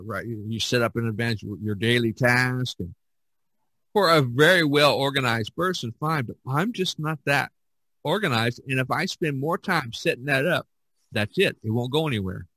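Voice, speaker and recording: A man with a mid-pitched voice hosts a casual podcast discussion. He rambles and repeats himself as he goes. The sound is dry, with little room in it. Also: normal speed, pushed and bright, no echo, low-quality recording. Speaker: podcast host.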